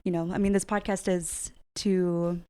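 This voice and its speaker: A woman's voice: on the low side, flat delivery, measured pace, mellow, clean tone, dry recording. A young woman hosting a podcast, chatting casually with fillers as she interviews a couple. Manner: friendly, chatty, lots of fillers. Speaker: podcast host.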